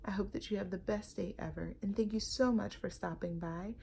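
A woman in her thirties reading a children's picture book aloud, deliberate and expressive, recorded at arm's length at home. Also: mid-range voice, creaky, a little hiss or hum. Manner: animated, deliberate, emphatic storytelling. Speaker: storytime host. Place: home living room and kitchen, indoors.

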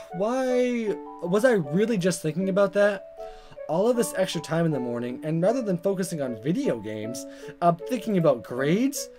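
A man reading a visual novel aloud as a let's player, in expressive narration with casual asides and stumbles that he corrects. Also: high-pitched, normal speed, music in the background. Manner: animated, stumbles over words and corrects himself.

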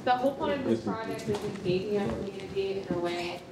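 A woman's voice: mid-range voice, fast talker, over a noisy crowd. A young woman hosting a community event, speaking informally to an audience. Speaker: project presenter and event host. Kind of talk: informal spoken remarks to an audience. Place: large bare concrete rehearsal hall. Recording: echoey room, clear background noise.

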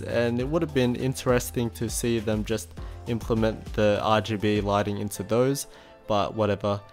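A man's voice: medium pitch, darkish tone. A young man with an Australian accent, narrating an easygoing voice-over of a shopping trip. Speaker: vlogger.

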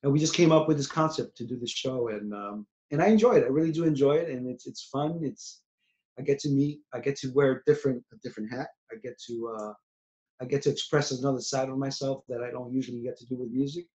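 A man's voice: mid-range voice, quick pace. A man in his forties from New York, a singer-songwriter chatting in an interview from a small room at home, often restarting and trailing off. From New York City. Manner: rambling, many restarts, trailing off quietly. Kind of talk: casual interview over video call. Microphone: webcam on video call. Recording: dull lo-fi video call audio.

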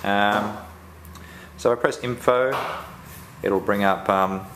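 An Australian man giving a casual unboxing walkthrough at home, halting and full of ums and restarts. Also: fairly low pitch, steady tone, fairly bright tone, a little hiss or hum. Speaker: tech reviewer. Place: indoors at home, filming the box up close.

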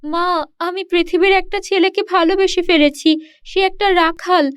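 A woman's voice: very high-pitched, steady tone, unhurried, no echo, studio quality, voice pushed up. A woman playing a young mermaid princess in a children's cartoon, feisty, teasing and girlish. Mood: lovestruck, earnest.

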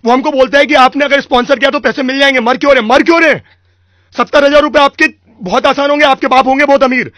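A man's voice: extremely high pitch, steady tone, fast, fairly bright tone, dry recording. A man with an Indian accent playing an irate, pushy vendor who badgers and repeats demands on a prank phone call. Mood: indignant. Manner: badgering, repetitive, accusatory. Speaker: prank caller. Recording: dull lo-fi radio recording.

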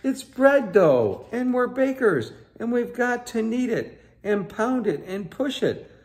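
A man voicing an excitable, insistent little girl from a picture book. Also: extremely high pitch, slow pace, voice pushed up, full character voice. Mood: excited. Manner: exclaiming, animated, insistent.

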